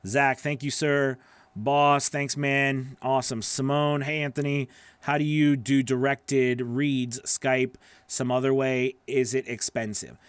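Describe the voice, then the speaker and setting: A man's voice: medium pitch, level tone, slow pace, clear, resonant tone, no room sound. Casual man coaching, dry close-mic sound. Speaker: host and voice-over coach. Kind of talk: casual livestream chat, answering viewer comments. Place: small home studio with acoustic foam. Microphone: broadcast microphone on a boom arm, up close.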